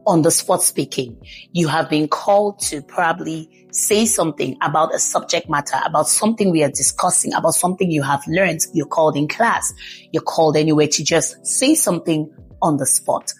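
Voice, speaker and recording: Husky-voiced woman coaching public speaking. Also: low voice, moderate pace, faint music behind, poor audio. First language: English. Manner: emphatic, repeats key points. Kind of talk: instructional talk.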